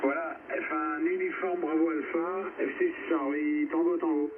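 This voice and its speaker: A man's voice: a little high, steady tone, a little slow. A man from France talking casually on air as an amateur radio operator. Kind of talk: casual ham radio conversation. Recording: tinny narrow shortwave radio, clear static noise.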